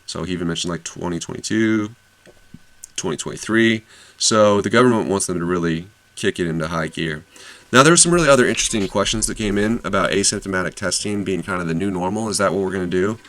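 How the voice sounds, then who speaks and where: A man's voice: low voice, quick pace, fairly bright tone, dry recording. A man hosting an investing channel, casually summarizing an earnings call with lots of fillers and self-corrections.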